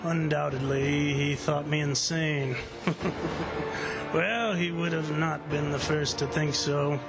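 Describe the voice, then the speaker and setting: A man playing a proud, stubborn plantation owner in a radio drama, calm and declamatory with total self-assurance. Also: on the high side, slow pace, poor audio. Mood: self-assured. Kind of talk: radio drama acting and first-person narration. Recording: radio drama with music underneath.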